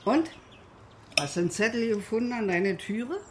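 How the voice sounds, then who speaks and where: An actress playing a homely, chatty old widow with a Berlin accent in a radio drama. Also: female voice, on the high side, moderate pace, close to an everyday voice. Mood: motherly, chatty. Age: older. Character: old lonely Berlin war widow, motherly neighbour. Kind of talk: German radio drama acting. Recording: noisy kitchen, clattering dishes.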